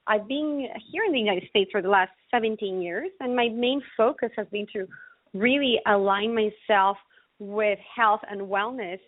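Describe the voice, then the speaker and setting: A woman from Ecuador, explaining health topics conversationally as an interview guest, in an earnest, deliberate way. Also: medium pitch, no room sound, narrow, tinny audio. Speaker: interview guest, naturopathic physician.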